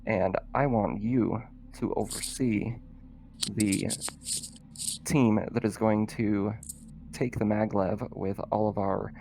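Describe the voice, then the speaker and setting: A man's voice: on the low side, fairly even intonation, vocal fry, mellow, a little hiss or hum, rough recording. A man voicing a stern, no-nonsense woman mayor who gives orders formally in a tabletop game. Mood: firm, businesslike. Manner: brisk, formal, giving orders.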